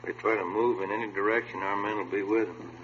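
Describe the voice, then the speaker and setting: An actor playing a watchful, matter-of-fact police detective in a 1950s radio drama, with an informal way of talking. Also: male voice, lowish voice, steady tone, fast, a little hiss or hum, lightly acted. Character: plain-spoken, watchful male police detective. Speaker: supporting actor. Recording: muffled, humming vintage radio recording.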